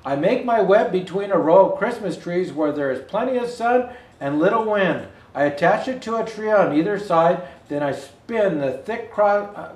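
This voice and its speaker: An older man reading a children's picture book aloud as a storyteller, with expressive, deliberate phrasing and the odd stumble. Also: high-pitched, distant mic. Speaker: Santa Claus storyteller. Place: indoor room with Christmas decor. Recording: roomy indoor echo.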